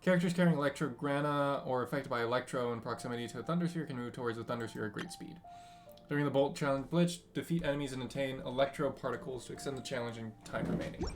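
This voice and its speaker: A man's voice: mid-range voice, quick pace, music in the background. A man doing live game commentary and reading on-screen text aloud, sometimes stumbling over the words. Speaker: game streamer.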